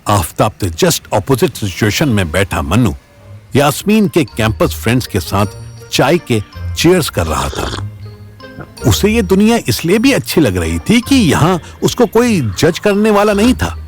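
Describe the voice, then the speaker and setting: Very animated male story narrator. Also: mid-range voice, unhurried. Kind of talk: dramatic scripted narration in Hindi. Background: faint background music.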